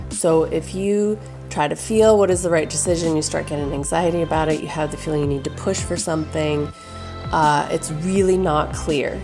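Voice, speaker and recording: A woman who teaches intuition talks casually to camera in an advice video. Her voice is hoarse and froggy from allergies, and she speaks loosely with fillers and restarts. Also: on the low side. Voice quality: raspy.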